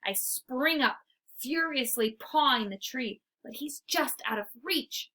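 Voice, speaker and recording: A woman reading a children's storybook aloud in an expressive, theatrical way. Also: high voice, takes it slowly. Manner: animated, theatrical. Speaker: storybook reader. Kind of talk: expressive read-aloud narration.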